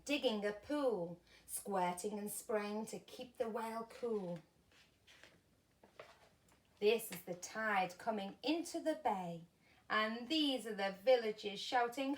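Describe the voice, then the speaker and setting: A woman reading a children's storybook aloud as a primary school teacher, with deliberate, expressive emphasis. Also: mid-range voice.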